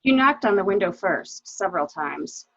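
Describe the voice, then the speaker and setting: A woman playing a cool, weary, bitter wife in a murder mystery reading, with a wry, deliberate, dramatic delivery on a lo-fi recording. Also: mid-range voice, animated, creaky, fairly bright tone, no echo. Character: cool, weary, bitter wife who shot her husband. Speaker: actor in an amateur library reading.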